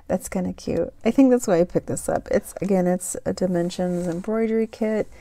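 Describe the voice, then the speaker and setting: A woman chatting casually as she shows off thrift store finds, her voice getting a little raspy. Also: mid-range voice, warm tone. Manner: slow, unhurried, chatty with fillers. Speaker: thrift haul vlogger. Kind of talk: casual haul show-and-tell.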